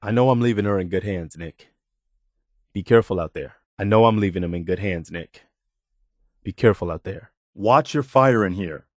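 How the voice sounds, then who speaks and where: An actor playing an earnest, steadfast militia officer companion in a post-apocalyptic video game, speaking sincerely and plainly with a folksy, dutiful manner. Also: male voice, deep voice, normal speed, no room sound, studio quality. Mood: steady, concerned.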